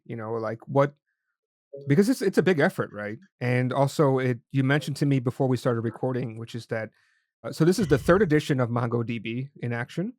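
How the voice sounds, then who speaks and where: A man's voice: medium pitch, no room sound, clean studio sound. A man hosting an interview podcast, chatting loosely and thinking aloud as he sets up questions. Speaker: podcast host. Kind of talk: casual interview chat.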